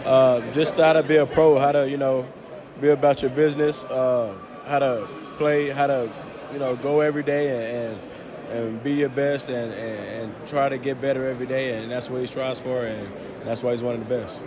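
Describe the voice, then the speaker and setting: A man, a football player, giving a casual interview answer in halting, repetitive phrases. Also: mid-range voice, steady tone, background noise. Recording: busy crowd behind the voice.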